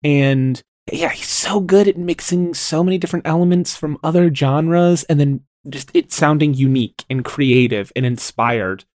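A man's voice: a little high, normal speed, few pauses, darkish tone, no room sound, clean studio sound. A man in casual, informal conversation as a podcast co-host.

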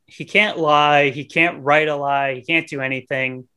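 A man's voice: fairly high pitch, steady tone, measured pace, pushed and bright, smooth. A man co-hosting a podcast, casually retelling a movie in a rambling, slangy, profane way. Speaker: podcast co-host. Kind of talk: casual movie review banter.

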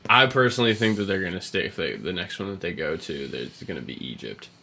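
Deep gravelly man, casually rambling. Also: animated, fast talker, pushed and bright, a little hiss or hum. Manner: rambling, frequent casual swearing. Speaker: podcast host. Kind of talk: casual chat, explaining video game lore.

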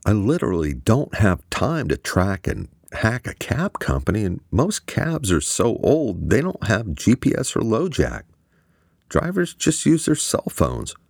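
A man's voice: fairly low pitch, wildly swooping pitch, a little slow, gravelly, darkish tone, slightly rough, dry recording, studio quality, pitched above the performer's own voice, full character voice. A man voicing a laid-back young male hacker with a casual, slightly childlike way of talking.

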